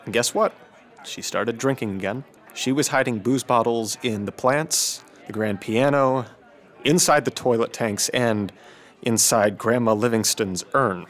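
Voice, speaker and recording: A man's voice: medium pitch, unhurried, lightly acted. A man acting in a radio drama as a frustrated, bitter teenage son with a cynical, sardonic manner. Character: bitter, frustrated teenage son, high school senior age.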